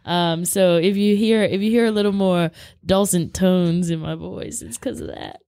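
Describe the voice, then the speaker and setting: A woman's voice: fairly low pitch, steady tone, moderate pace, mellow, no room sound, clean studio sound. A woman in her thirties co-hosting a podcast, slightly scratchy in the throat, rambling and giggling through casual chat. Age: 30s. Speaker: podcast co-host.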